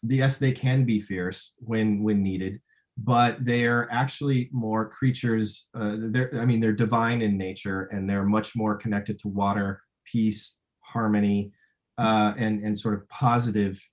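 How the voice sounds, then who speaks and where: A man speaking as a film director in a casual interview, thinking aloud in a halting way. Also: fairly low pitch, steady tone.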